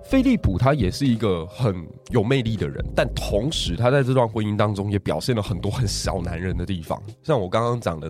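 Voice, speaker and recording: A man with a Taiwanese Mandarin accent, a podcast guest telling a history story and asking listeners rhetorical questions. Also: on the low side. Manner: animated, asks the audience rhetorical questions.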